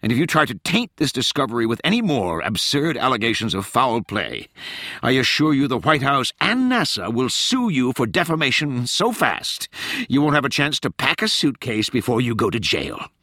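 A man voicing a domineering female senior presidential advisor, raspy, stern and cutting, in a dramatized audiobook. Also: medium pitch, very animated, moderate pace, sharp tone, no room sound, studio quality, voice pushed up, exaggerated voice. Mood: threatening.

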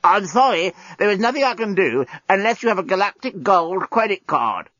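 A man's voice: high voice, very animated, normal speed, a bit bright, dry recording, pitched above the performer's own voice, full character voice. A man voicing an officious male robot desk clerk with a simulated, strangled voice. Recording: dull, dry recording.

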